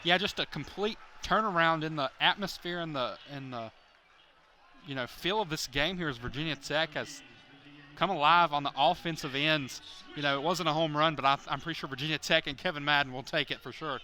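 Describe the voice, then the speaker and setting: A man's voice: fairly high pitch, a little fast, sharp tone. A man giving conversational color commentary on a live baseball game, often padding his analysis with "you know".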